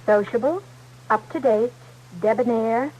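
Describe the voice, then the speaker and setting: High-pitched woman in vintage radio advert. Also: measured pace, a little hiss or hum. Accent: American. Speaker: commercial singer and announcer. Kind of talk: vintage radio soft-drink jingle and scripted banter. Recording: dull vintage radio, loud music behind.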